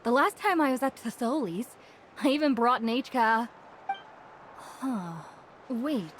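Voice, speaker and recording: Chatty, higher-voiced young townswoman. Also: expressive, measured pace, slight background noise. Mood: flustered. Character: chatty, friendly young townswoman, slightly scatterbrained. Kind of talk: anime game dialogue acting.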